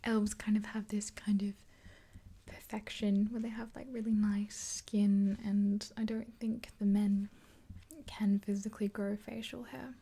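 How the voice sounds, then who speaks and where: A woman in her twenties whispering gently and breathily in an ASMR roleplay, close to the mic. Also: mid-range voice, level tone, slightly rough. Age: 20s. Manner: gentle, unhurried. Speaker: ASMR creator playing a makeup artist. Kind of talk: ASMR roleplay, soft rambling chat. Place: small indoor room. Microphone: close mic, face near camera.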